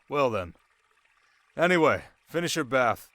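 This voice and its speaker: A man playing a young, sharp desert king in an intimate ASMR roleplay, teasing his new wife with measured, quietly commanding speech. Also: mid-range voice, big pitch swings, no echo. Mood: playful, brisk.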